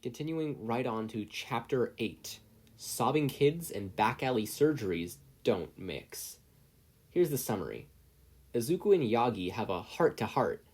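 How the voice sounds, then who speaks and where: A man's voice: on the low side, a little mellow, husky. A man reading a story aloud as scripted audiobook narration. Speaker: podfic reader, narrator.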